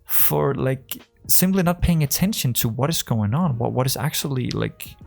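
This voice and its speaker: A man with a strong Danish accent talks loosely to the camera as a trading coach. His voice is rough and gravelly, and his sentences wander, full of fillers and restarts. Faint music plays underneath. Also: mid-range voice, animated, normal speed, darkish tone. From Denmark. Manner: rambling, full of fillers and restarts, acts out conversations. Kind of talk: casual talk to camera.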